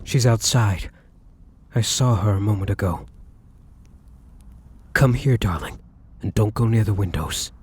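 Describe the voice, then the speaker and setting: Devoted romantic vampire, male actor, lowish and very husky, courtly and theatrical, for ASMR roleplay. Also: measured pace, long pauses, a little mellow. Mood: grave, urgent. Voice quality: husky, breathy. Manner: formal, flowery, theatrical endearments.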